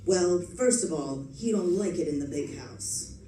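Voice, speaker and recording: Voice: medium pitch, animated, measured pace, dark tone, close to an everyday voice. A performer playing a menacing, slangy gangster's messenger in the style of 1940s radio drama. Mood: sly menace. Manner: slangy, tough-guy delivery. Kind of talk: live recreation of 1940s radio acting. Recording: roomy, distant, faint background noise.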